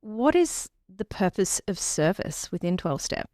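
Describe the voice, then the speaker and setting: A woman hosting an interview, asking questions in an encouraging way and murmuring soft acknowledgements. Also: on the low side, big pitch swings, normal speed, dry recording, studio quality. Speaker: podcast host. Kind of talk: interview host.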